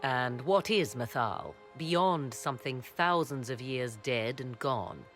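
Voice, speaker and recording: Haughty, low-voiced sorceress. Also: female voice, expressive, takes it slowly, a bit bright, faint music behind, full character voice. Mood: sardonic. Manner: arch, formal phrasing. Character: haughty, enigmatic sorceress, sardonic and proud. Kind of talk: dramatic fantasy video game acting.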